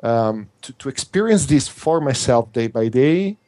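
A man's voice: mid-range voice, lively intonation, warm tone, no echo. Italian accent guy, earnest, stumbles and repeats himself a lot, dry close sound. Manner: earnest, halting, with frequent restarts and repetitions. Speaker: interview guest, workshop instructor. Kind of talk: earnest conversational interview.

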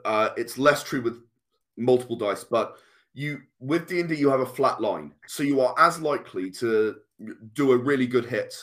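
A man with a British accent and a mid-range voice talks through an explanation as a guest. He is earnest and conversational, often pausing to restart or rephrase his sentences. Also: medium pitch, a bit bright. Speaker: guest, tabletop RPG and comic creator.

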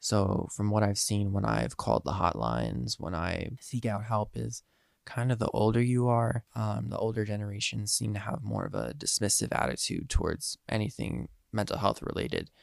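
A nineteen-year-old man with a head cold talks into a close mic in a dry room, his voice slightly nasal. The voice sits lowish and gravelly with fry. He rambles earnestly, full of ums and restarts. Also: moderate pace, no room sound. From Eastern Pennsylvania. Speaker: podcast host. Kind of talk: casual solo podcast monologue.

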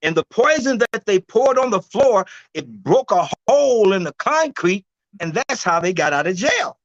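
Loud preachy man in his sixties, high voice. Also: sing-song, moderate pace, no room sound, highs cut off. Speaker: guest herbalist. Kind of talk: preacher-like storytelling.

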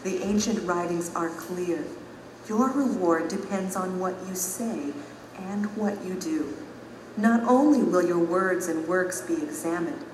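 An actress playing a calm, wise woman on a throne, instructing a newcomer gravely and deliberately in an audio drama. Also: lowish voice, lightly acted. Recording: reverberant hall with noisy ambience.

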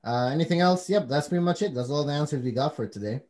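Animated, laughing man co-host. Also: a little high, fast. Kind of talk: casual podcast chat.

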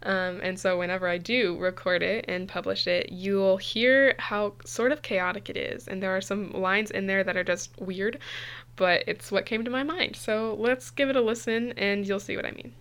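A woman's voice: medium pitch, fairly even intonation, normal speed, a bit bright, smooth. A young woman hosting a podcast, talking casually, chatty and laughing easily, often restarting her phrases. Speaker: podcast host.

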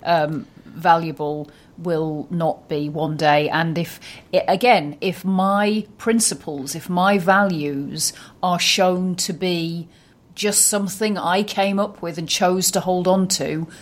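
A woman co-hosting a conversational film discussion in a measured, reflective way. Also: fairly low pitch, steady tone. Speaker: podcast co-host.

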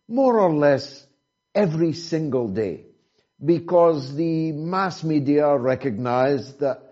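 A man from Britain hosting an interview who talks deliberately and asks rhetorical questions. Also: fairly high pitch, expressive, warm tone. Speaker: interviewer, host. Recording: dull lo-fi sound.

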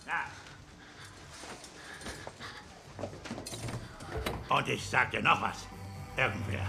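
An actor playing an irritable, self-important gangster who talks brusquely and impatiently in a dubbed TV drama. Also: male voice, sits high, creaky, exaggerated voice. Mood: domineering, grim. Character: irritable self-important male gangster taking charge, paranoid. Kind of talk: German-dubbed TV drama acting.

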